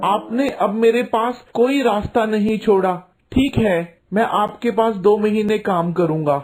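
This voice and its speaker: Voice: mid-range voice, unhurried, darkish tone, lightly acted. A performer voicing an earnest, naive young male student in a cartoon, speaking politely and pleadingly. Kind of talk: Hindi cartoon voice acting.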